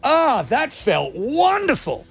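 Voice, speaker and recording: An energetic Australian man hosting a late-night radio show, ranting and swearing in a studio. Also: extremely high pitch, takes it slowly, creaky, faint music behind.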